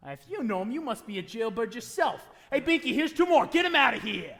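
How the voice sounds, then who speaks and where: A man's voice: very high voice, very animated, quick pace, fairly bright tone, faint music behind, exaggerated voice. A man playing a brusque, hostile bar boss. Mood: angry, bullying. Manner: brusque, slangy, bullying. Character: gruff, hostile, tough 1940s male bar boss. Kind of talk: stage radio-play acting in 1940s manner. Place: theatre hall, live performance. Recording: live stage taping in reverberant hall.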